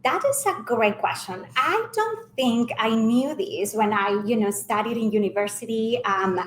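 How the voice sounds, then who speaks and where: A woman's voice: a little high, expressive, a little slow, slight background noise. A middle-aged woman from Ecuador with an Ecuadorian Spanish accent, telling her career story and often checking in with "right?". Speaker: hotel sales director and mentor. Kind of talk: casual mentoring interview. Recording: echoey room with faint ambience.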